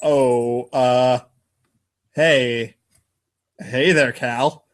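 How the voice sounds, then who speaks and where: A man's voice: mid-range voice, steady tone, extremely slow, faint music behind. Man playing aloof, slangy teenage boy. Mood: nervous. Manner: casual, rambling, affectedly cool.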